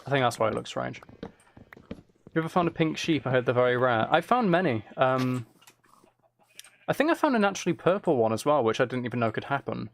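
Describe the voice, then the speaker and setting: A man's voice: medium pitch, sing-song, brisk, creaky, long pauses. A man giving casual gameplay commentary, thinking aloud and correcting himself as he chats to viewers. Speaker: streamer playing a building game.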